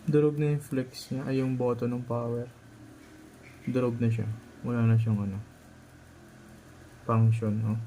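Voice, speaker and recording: A man's voice: mid-range voice, level tone, a little slow, long pauses, dark tone, slight background noise. A man with a Filipino accent narrating a hands-on repair tutorial as a technician, informal and instructive, often checking in with short tag questions.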